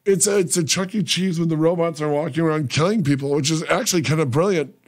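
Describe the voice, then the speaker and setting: Talkative man hosting a radio show, higher voice. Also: fast. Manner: talkative, storytelling, enthusiastic. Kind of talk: casual interview chat. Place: small radio studio. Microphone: studio desk mic.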